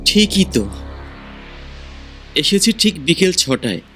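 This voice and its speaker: Audio drama role: bewildered young-hearted poet disciple, played by an actor, high male voice, slow and earnest, music beneath. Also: sing-song, pushed and bright, full character voice. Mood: dazed hurt. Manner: dazed, earnest, protesting. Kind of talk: Bengali audio drama acting.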